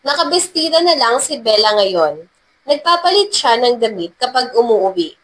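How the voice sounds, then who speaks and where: A woman narrates a scripted love story. Her voice sits fairly high as she reads the storytelling passages. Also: big pitch swings, moderate pace, a bit bright, slight background noise.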